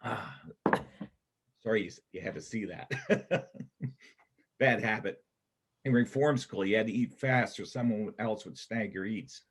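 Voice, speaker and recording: A man performing a reader's theater part as a gruff, cynical bank robber and combat veteran, blunt and colloquial in his talk. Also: fairly low pitch, a little slow, long pauses. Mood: grim, matter-of-fact. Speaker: amateur church play performer.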